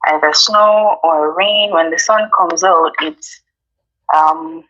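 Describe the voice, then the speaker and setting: A woman's voice: sits low, slow, fairly bright tone, lo-fi sound. A woman from Jamaica with a Jamaican accent, a guest chatting casually in an interview, talking easily with many ums and you-knows.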